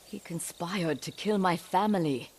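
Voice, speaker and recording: A woman's voice: on the low side, unhurried, a little mellow, a little hiss or hum. An actress voicing an older samurai noblewoman in a video game, grim, bitter and commanding. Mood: stern. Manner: stern, clipped, commanding. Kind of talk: dramatic game dialogue.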